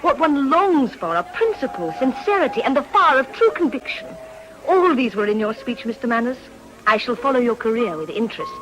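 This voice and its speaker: An actress playing a poised, high-minded young society lady with an upper-class English accent in a period radio drama. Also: big pitch swings, fairly quick, poor audio. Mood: fervent. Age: young adult. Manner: poised, articulate, fervent.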